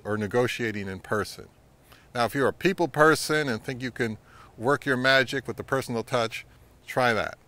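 A middle-aged man giving advice to camera as a channel host, speaking in a measured, instructive way. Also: medium pitch, a bit bright. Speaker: host of a vintage audio channel. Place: outdoors, among trees or on a porch.

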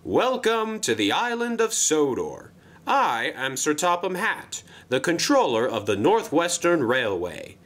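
A pompous railway controller as a man acts him out for a children's train tale. He is formal, effusive and eager, with swooping high inflections and a bright, forceful delivery. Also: big pitch swings, a little slow, full character voice. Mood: hearty, eager. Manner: formal, effusive, flustered when surprised.

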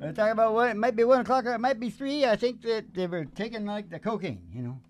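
A middle-aged man hosting a music show, chatting casually and telling rambling, animated stories. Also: sits high, quick pace. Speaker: singer and music show host. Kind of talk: casual chat and storytelling between songs. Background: acoustic guitar.

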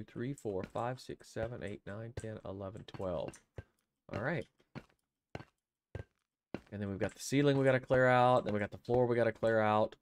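An older man with a head cold talks casually from a home room through a headset mic, his voice sitting low. He rambles and thinks aloud, counting under his breath, while loud noise runs steadily underneath. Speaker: streamer. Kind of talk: casual gameplay commentary.

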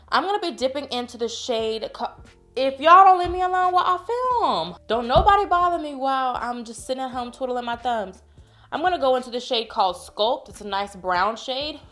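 A woman's voice: fairly high pitch, fairly bright tone. Congested girl in her 20s chatting thru a makeup tutorial, playful, calling everybody y'all, faint music in the back. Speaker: beauty vlogger. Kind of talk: casual makeup tutorial chat. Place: home room, indoors.

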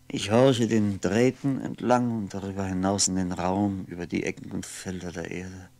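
Solitary brooding man, radio-play monologue, low gravelly voice, poetic and halting. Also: darkish tone, no echo. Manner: musing, halting, poetic, sometimes near whisper. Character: lonely, brooding man talking to himself.

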